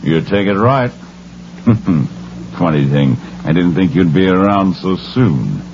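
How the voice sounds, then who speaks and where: An actor playing a smug, mockingly menacing saloon-owning gang boss in a 1940s radio Western, with a drawling delivery. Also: male voice, low-pitched, very animated, slow pace, exaggerated voice. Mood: mocking, confident. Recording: muffled, noisy 1940s radio broadcast.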